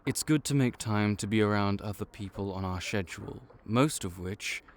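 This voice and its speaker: A man's voice: lowish voice, normal speed, lightly acted. A man voicing a male vampire intake officer in an audio roleplay, matter-of-fact and wry as he walks through the paperwork.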